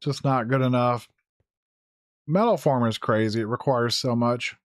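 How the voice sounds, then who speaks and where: A hoarse man who is losing his voice, giving casual gameplay commentary, thinking aloud with fillers and self-corrections. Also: mid-range voice, normal speed, dry recording, studio quality. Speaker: gaming YouTuber. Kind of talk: casual Let's Play commentary.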